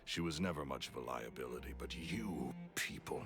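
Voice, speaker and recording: A man's voice: very deep voice, moderate pace, over music. A man voicing a bitter, jealous male magician villain in a game, sneering and menacing. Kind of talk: game voice acting.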